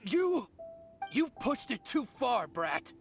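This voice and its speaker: Game role: shifty male bandit merchant, lively intonation, anime dub style, light background music. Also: very high-pitched, takes it slowly, vocal fry, heavily acted. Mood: menacing. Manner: falsely polite, turning indignant and menacing. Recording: music behind the voice.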